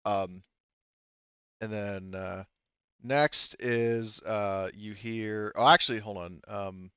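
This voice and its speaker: Man, game master, low smooth voice, casual narration, hesitant, slightly muffled dry recording. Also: fairly even intonation, slow, sharp tone, no room sound. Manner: hesitant, thinking aloud.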